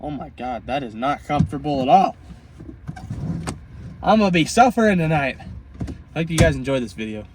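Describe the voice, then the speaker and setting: Raspy young man vlogging outdoors. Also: a little high, fairly quick, long pauses, background noise. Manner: chatty, excitable, says dude and like. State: raspy from a cold. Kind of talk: casual vlog chat to camera. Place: outdoors by a lake, camping in a small car.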